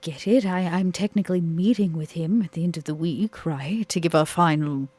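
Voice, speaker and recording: A woman's voice: lowish voice, moderate pace, darkish tone, no echo, natural voice. A woman playing a genteel, old-fashioned female vampire in a tabletop game, with prim, quaint phrasing over music. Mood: regretful, resolved. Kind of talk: in-character tabletop roleplay.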